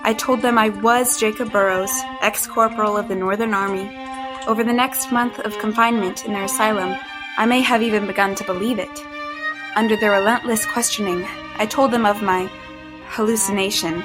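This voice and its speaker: A woman voicing a young woman trapped in a man's body, narrating an audio drama in a measured, introspective way. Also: mid-range voice, natural voice. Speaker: narrator and lead character.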